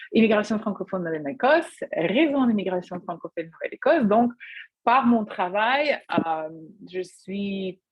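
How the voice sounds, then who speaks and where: Husky woman in her thirties. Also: medium pitch, no echo. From Ukraine. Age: 30s. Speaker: guest panelist, volunteer community navigator. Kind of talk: informal spoken self-introduction. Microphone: video call. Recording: dull lo-fi online call audio.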